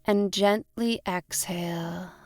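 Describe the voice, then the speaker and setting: A woman narrating a guided imagery meditation in a gentle, soothing way, in short deliberate phrases with long pauses. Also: on the low side, fairly even intonation. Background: instrumental music.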